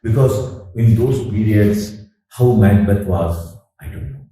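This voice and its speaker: An older man with an Indian English accent whose first language is Urdu, answering interview questions in a deliberate, halting way with many fillers. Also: on the low side, dark tone, very echoey, recorded from a distance, poor audio. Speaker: theatre director and voice artist.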